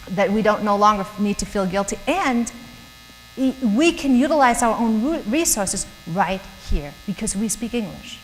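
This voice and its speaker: A middle-aged woman with a German accent gives a chatty, earnest talk to a church group and often laughs between thoughts. Her voice carries a long reverb from a large hall. A faint electrical hum sits underneath. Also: mid-range voice. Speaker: guest speaker. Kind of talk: church talk to young professionals.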